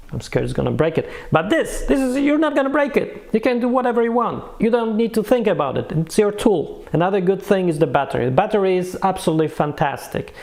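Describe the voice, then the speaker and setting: A man with a slightly high voice and a distinctly creaky, gravelly edge talks through a review in a casual, unscripted way. He is emphatic and repeats himself to drive points home, with a dry, offhand sense of humour. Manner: animated, emphatic, repeats words for effect. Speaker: product reviewer.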